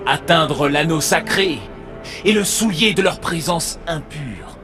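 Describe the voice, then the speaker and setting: Alien hierarch, haughty, animated pitch, accusing, theatrical, video game drama. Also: male voice, takes it slowly. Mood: accusing, scornful. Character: scornful, sanctimonious alien hierarch, male, accusing. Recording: loud music under the dialogue.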